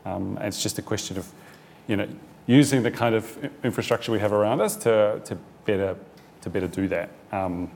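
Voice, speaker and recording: Gravelly low-voiced New Zealand man. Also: a little fast, darkish tone, slight background noise. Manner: hesitant, many fillers and restarts. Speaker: panellist. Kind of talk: panel discussion answer.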